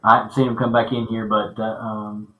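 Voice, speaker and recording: A man's voice: fairly low pitch, little pitch movement, sharp tone. A man in his thirties hosting a casual live podcast chat, often stalling on repeated words and "uh". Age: 30s. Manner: halting, repeats words and fills with uh. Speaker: podcast host. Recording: echoey room.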